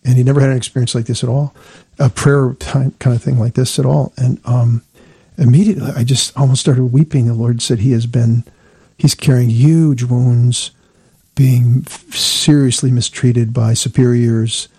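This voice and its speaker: Man with a dark, husky, dry voice. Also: medium pitch, normal speed, dry recording. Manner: calm, reflective storytelling. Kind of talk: casual conversation.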